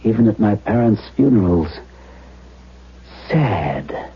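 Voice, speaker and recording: A troubled, sorrowful man in a 1970s radio play. His husky, breathy voice moves haltingly with big swings in intonation, on a dull recording. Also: fairly low pitch, sing-song, full character voice. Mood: uneasy, sorrowful. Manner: halting, dramatic. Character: troubled man overcome by unbearable sadness, radio drama. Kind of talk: 1970s radio drama acting.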